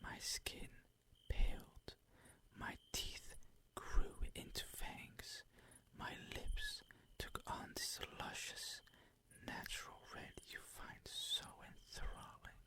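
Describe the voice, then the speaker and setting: A man voicing a predatory, possessive vampire count in an ASMR roleplay, with formal, teasing, commanding phrasing and a breathy voice that often sinks toward a whisper. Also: very high voice, monotone, takes it slowly, pushed and bright, exaggerated voice. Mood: brooding, hushed.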